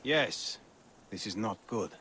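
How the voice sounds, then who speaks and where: An actor playing a grieving, weary Egyptian husband and official in a dramatic game cutscene. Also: male voice, fairly low pitch, animated, slow, pushed and bright, slight background noise, exaggerated voice. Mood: weary, grave.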